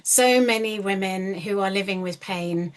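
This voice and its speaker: A woman's voice: medium pitch, unhurried. A woman hosting a podcast interview, affirming her guest and often ending points with tag questions. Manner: affirming, many fillers and restarts, frequent tag questions. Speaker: podcast host. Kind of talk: supportive interview chat.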